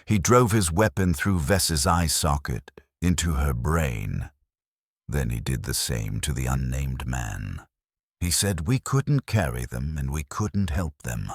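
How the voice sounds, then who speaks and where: A man narrating a dark science fiction horror story in the first person, in a grave, measured storytelling manner. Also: extremely low pitch, expressive, no echo, clean studio sound.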